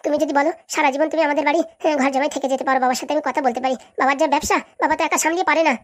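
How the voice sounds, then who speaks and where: A woman's voice: high voice, steady tone, rapid-fire, natural voice. A woman voicing a lovesick, clingy young girlfriend in a comedy cartoon, with a pleading, insistent manner. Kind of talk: Bangla comedy cartoon dialogue.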